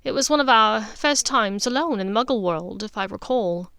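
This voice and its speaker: A woman voicing a warm, pleading older sister witch in a story, expressive and a little halting. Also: a little high, smooth, no echo, studio quality. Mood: fond reminiscing.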